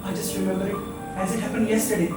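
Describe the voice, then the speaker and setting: A stage actor playing a lonely, restless rooming-house drifter, rambling theatrically and dwelling on words in halting repetitions. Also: mid-range voice, level tone, lightly acted. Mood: subdued, reminiscent. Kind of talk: live stage play monologue. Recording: echoey theatre captured from far off, faint music.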